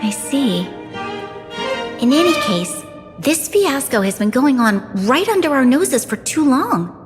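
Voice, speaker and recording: A woman voicing a gracious, composed young female leader in a video game, formal, measured and authoritative. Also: a little high. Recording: reverberant hall, music behind.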